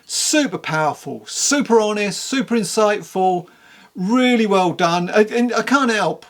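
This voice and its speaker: A person giving a casual spoken book review to camera in a candid, rambling, conversational way, with a creaky voice. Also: mid-range voice.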